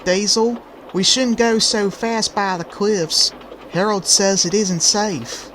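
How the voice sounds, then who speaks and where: A man's voice: high-pitched, steady tone, slow, fairly bright tone, a little hiss or hum. A man voicing an anxious, cautious young male railway brake van in a fan-dubbed cartoon. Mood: worried. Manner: worried, cautioning. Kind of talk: fan-dub cartoon character acting. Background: background music.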